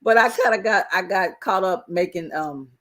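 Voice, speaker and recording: Hoarse easygoing man on a talk show. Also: sits high, normal speed, pushed and bright. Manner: easygoing, rambling with many fillers. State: hoarse, losing his voice. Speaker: co-host. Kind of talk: casual chat.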